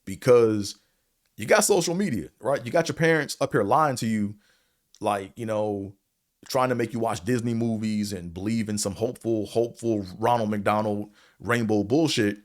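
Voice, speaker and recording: A man with a deep voice and a slight stutter, giving a blunt, profane, rambling opinion monologue as a show host, full of fillers. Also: normal speed. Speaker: show host reviewing a series.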